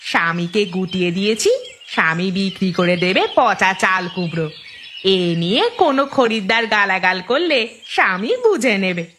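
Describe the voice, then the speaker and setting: A woman's voice: medium pitch, lively intonation, moderate pace, a bit bright, a little hiss or hum, close to an everyday voice. A woman voicing a sly, bossy village housewife in a cartoon story. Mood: smug, gloating. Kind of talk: cartoon voice acting.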